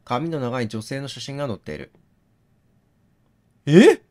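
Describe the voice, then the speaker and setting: Animated man doing game commentary. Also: mid-range voice, measured pace. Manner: thinks out loud, questioning himself and reasoning step by step. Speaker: game streamer reading on-screen text aloud. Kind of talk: gaming commentary mixed with read-aloud narration.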